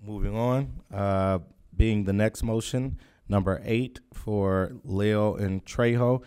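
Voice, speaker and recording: Low flat guy running a board meeting, lots of uhs, smooth voice. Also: slow pace. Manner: hesitant, many fillers. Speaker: board president chairing meeting. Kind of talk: chairing a public meeting.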